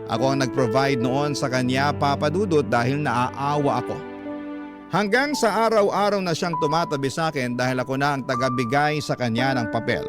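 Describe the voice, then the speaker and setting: An actor playing a young man telling his love story in scripted radio narration, with a Filipino accent and a measured, sentimental manner. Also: medium pitch, close to an everyday voice. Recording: loud music bed under voice.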